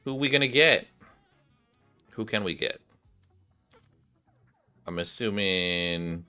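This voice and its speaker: A man doing casual game-stream commentary in an animated way, thinking aloud and sometimes reading on-screen text. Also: low voice, slow pace, clear, resonant tone. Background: game music.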